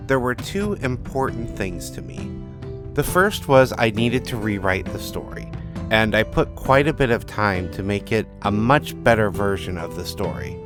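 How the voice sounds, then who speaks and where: A man speaking as an author and podcast host, giving an informal note on his work. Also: fairly low pitch. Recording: guitar music under the voice. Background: plucked guitar music.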